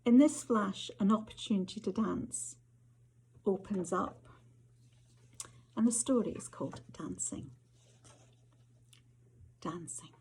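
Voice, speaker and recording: Husky Scottish woman reading short fiction. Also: medium pitch, slow pace, pauses often, mellow. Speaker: author reading their fiction. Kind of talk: literary reading of short stories.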